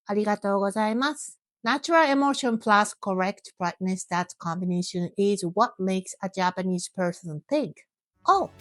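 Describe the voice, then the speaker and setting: High-voiced woman teaching, encouraging. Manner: encouraging, addresses the learner directly in short phrases. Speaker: Japanese language teacher and host. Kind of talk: scripted instructional narration.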